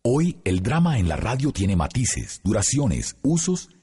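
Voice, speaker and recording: A man reading scripted documentary narration in a husky voice. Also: medium pitch, sing-song, a little slow, warm tone. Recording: long reverb over faint music.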